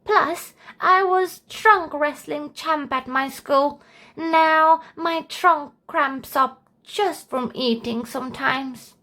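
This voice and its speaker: A woman's voice: high-pitched, slow, bright, forceful tone, voice pushed up, exaggerated voice. A woman voicing an elderly elephant in a children's storybook, proudly reminiscing about younger days. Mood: proud, nostalgic.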